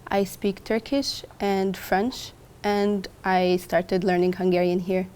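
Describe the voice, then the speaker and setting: A woman from Jordan speaks English with an Arabic accent. Her mid-pitched voice stays flat and even as she gives formal, prepared answers in a student interview. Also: slow pace, smooth. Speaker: MBA student being interviewed.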